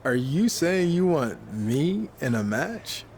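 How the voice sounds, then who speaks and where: A man's voice: a little high, sing-song, slow, vocal fry, a little mellow, a little hiss or hum, heavily acted. A man playing a cocky, defiant young rookie wrestler in a video game cutscene, smug and assertive.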